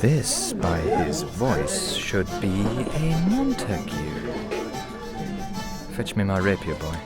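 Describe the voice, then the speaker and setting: A man's voice: deep voice, wildly swooping pitch, slow pace, heavily acted. An actor playing a fiery, proud young nobleman, declaiming indignantly in a Shakespearean audio drama. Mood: outraged. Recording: music behind the voice.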